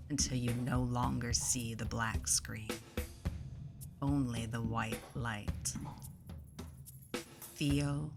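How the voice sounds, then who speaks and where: A woman leading a guided meditation in a soothing, deliberate way, pausing between phrases, her soft voice turning breathy as phrases end. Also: very deep voice. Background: instrumental music.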